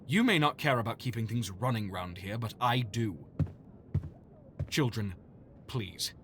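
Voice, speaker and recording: Stern doctor, expressive voice. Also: male voice, lowish voice, a little slow, a little hiss or hum. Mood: firm, weary. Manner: formal, firm, weary. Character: Dr. John Watson, stern, exasperated, practical adult man. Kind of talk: audio drama acting.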